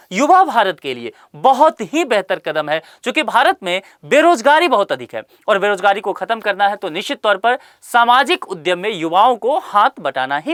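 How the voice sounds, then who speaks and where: A woman's voice: mid-range voice, fairly bright tone, no echo, clean studio sound. A woman explaining a survey report in a current affairs lesson, speaking to viewers with rhetorical questions and everyday examples.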